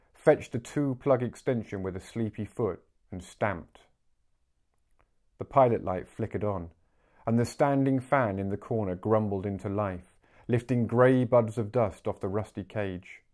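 A man in his forties reading a story aloud as an expressive audiobook narrator. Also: on the low side. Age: 40s. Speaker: book narrator. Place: small living room, indoors.

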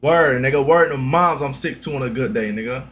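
A man's voice: mid-range voice, brisk, a bit bright, husky. A young man streaming a reaction on a headset, brash and profane, full of loose slang. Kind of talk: reaction commentary.

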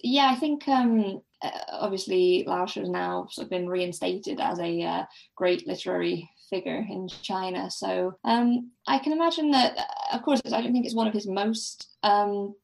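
A woman's voice: medium pitch, moderate pace, gravelly, few pauses, a little mellow, poor audio. A woman speaking as a podcast guest, discussing literature casually in a tentative, self-deprecating way.

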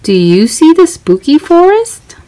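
A woman voicing a cheerful, excitable young girl explorer in a children's storybook, exclaiming and asking listeners for help. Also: high-pitched, a little slow, a little hiss or hum, voice pushed up. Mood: cheerful, inviting. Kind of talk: children's storybook read-aloud.

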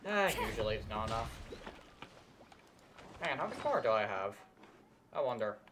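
A man's voice: fairly low pitch, very animated, moderate pace, noisy background. A young man giving casual live game commentary, rambling and muttering to himself. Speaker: game streamer. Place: indoor room at a desk.

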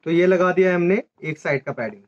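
Higher-voiced man explaining step by step. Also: fast, highs cut off. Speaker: presenter of a motorcycle gear channel. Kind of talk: hands-on tutorial explanation. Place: small indoor office or shop room. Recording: faint background noise with clicks.

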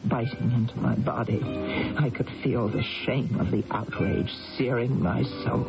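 A woman's voice: rumbling low, exaggerated voice. An actress playing a proud, vengeful ancient British queen with theatrical, impassioned delivery in a 1980s radio drama. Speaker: radio actor. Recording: muffled 1980s radio broadcast.

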